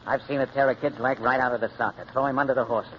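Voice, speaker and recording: Casting: male actor for shady gambler, medium pitch, slangy and insinuating, radio drama, dulled recording with light hiss. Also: steady tone, very fast, close to an everyday voice. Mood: coaxing menace. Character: shady gambler man, coolly pressuring a green kid. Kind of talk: 1940s radio acting. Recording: muffled, hissy old radio recording.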